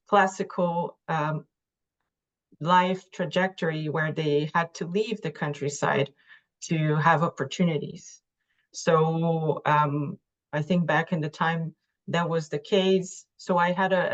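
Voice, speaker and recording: A soft-spoken woman with a low voice and a Brazilian accent talks on a panel over a muffled, lo-fi call. She tells her personal story in careful, halting phrases. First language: Portuguese. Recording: dull, lo-fi online call audio.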